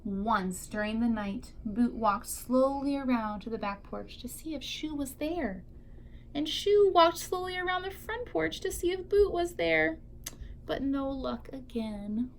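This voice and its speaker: A woman's voice: sits high, expressive, unhurried, slight background noise, rough recording. A woman teacher reading a picture book aloud expressively to young children, drawing out key words for emphasis.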